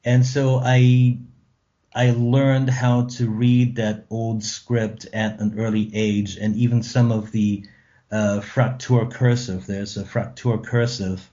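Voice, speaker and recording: Slow-spoken German-born man, hesitant. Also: mid-range voice, little pitch movement, warm tone, lo-fi sound. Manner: thoughtful, hesitant with many ums. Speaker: author and translator, guest. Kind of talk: radio interview.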